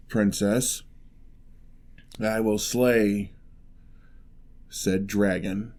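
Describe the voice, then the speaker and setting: A man playing a lonely, gentle male giant in a fantasy ASMR roleplay, speaking in a measured, wry, slightly formal way. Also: lowish voice, vocal fry, exaggerated voice. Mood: deliberate, offering.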